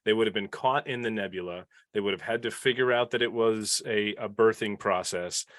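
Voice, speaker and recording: Low-voiced man in enthusiastic casual discussion. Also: fairly quick, fairly bright tone, no room sound, clean studio sound. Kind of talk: casual panel chat about a TV show.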